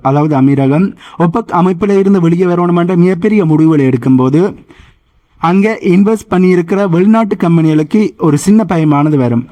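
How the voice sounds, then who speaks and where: Higher-pitched man narrating a news explainer. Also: warm tone. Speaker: host of a news explainer channel.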